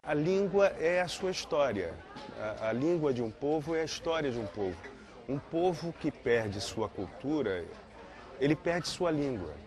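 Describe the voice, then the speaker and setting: A man's voice: fairly high pitch, animated, a little slow, darkish tone. A male expert giving an earnest interview statement, restarting phrases as he searches for words. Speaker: language expert. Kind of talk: interview testimony.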